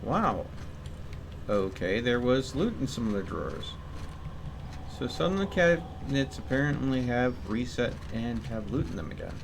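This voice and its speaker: A man's voice: mid-range voice, sing-song, normal speed, vocal fry, background noise. A man giving casual live commentary as he streams a game, musing to himself as he goes.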